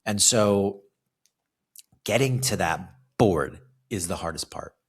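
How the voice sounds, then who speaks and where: A man's voice: lowish voice, takes it slowly, husky. A man from California, a fitness coach hosting a solo podcast, talking casually and often tagging his sentences with "right?". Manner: conversational, ends many phrases with a questioning "right?". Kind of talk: solo motivational podcast monologue.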